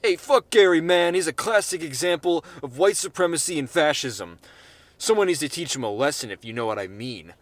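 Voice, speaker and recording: Brief: man doing a hot-headed young male activist, high and shouty. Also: lively intonation, a little fast, no echo, lightly acted. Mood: angry. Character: shrill young male protester, riled up.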